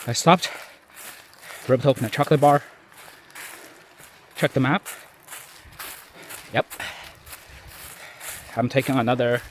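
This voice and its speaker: A man's voice: medium pitch, slight background noise. A man recording himself while hiking alone outdoors, sounding nasally and a bit tired, talking in a rambling, self-mocking way. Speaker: solo hiker vlogging. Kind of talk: casual self-recorded trail diary. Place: outdoors on a forest trail in rain. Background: stream and forest ambience.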